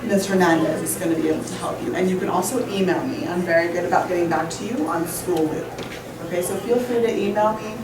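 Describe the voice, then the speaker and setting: A woman teacher from Indonesia talks to parents in a loose, informal way, with a lowish voice. She is recorded from across an echoey classroom, and a steady background hiss sits under her words. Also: fast, far from the mic. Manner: informal, with fillers. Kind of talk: addressing parents at a school open evening.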